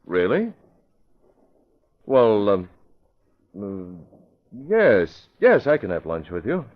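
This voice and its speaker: Young newspaper publisher, calm, measured, very low voice, tinny old radio sound. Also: male voice, exaggerated voice. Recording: thin phone-like old radio recording.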